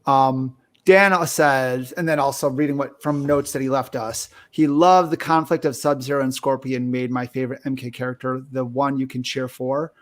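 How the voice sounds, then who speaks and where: A man's voice: medium pitch, fairly even intonation, moderate pace, hardly any pauses. A man hosting a podcast, talking casually as he discusses and reviews films. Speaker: podcast host.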